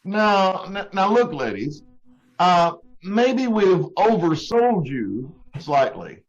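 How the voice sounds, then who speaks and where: Man acting a slow, flirty, baffled salesman. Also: high voice, sing-song, highs cut off, heavily acted. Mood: incredulous, uneasy. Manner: hesitant, chuckling, throat-clearing. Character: smooth-talking flirtatious salesman, easily baffled. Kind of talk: old-time radio comedy acting.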